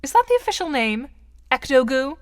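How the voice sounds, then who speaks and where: A woman's voice: high voice, big pitch swings, fairly quick, no room sound. A woman playing a composed teenage girl with a dry, formal, sardonic manner in an audio drama. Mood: amused, polite.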